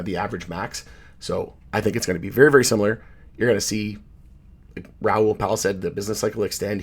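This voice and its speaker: A man with a somewhat low, hoarse voice hosts a casual commentary on market charts. He rambles easily, leans on fillers and stops to correct himself mid-sentence. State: hoarse, losing his voice. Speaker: channel host, market commentator.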